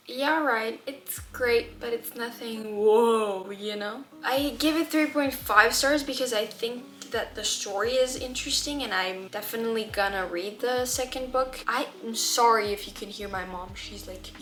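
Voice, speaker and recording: Bright-voiced chatty young woman, non-native speaker. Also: on the high side, steady tone, unhurried, echoey room. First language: not English. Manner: chatty and candid, many fillers. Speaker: book vlogger. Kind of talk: casual chat to camera. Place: room at home.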